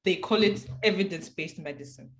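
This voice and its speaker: A woman's voice: lowish voice, brisk. A woman co-hosting a casual health discussion podcast, chatty and leaning on filler words as she talks. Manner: chatty, leans on "like". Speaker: podcast co-host.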